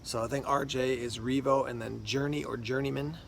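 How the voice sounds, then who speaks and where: A man's voice: medium pitch, steady tone, fairly quick, creaky, slight background noise. A man presenting products in a casual unboxing video, explaining things in an informal, conversational way. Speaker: product reviewer and unboxing host.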